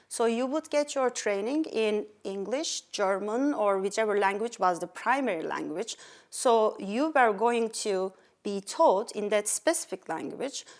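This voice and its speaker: A middle-aged woman from Turkey with a Turkish accent, earnestly telling her life story as an interview guest. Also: medium pitch. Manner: earnest, with fillers and restarts. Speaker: pediatric endocrinologist guest.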